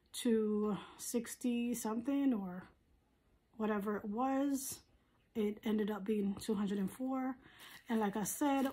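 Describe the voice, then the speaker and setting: A woman with a hoarse, husky voice, giving a casual, rambling product review as a vlogger at home. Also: medium pitch, mellow, a little hiss or hum, poor audio. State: hoarse, recovering from surgery. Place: living room at home.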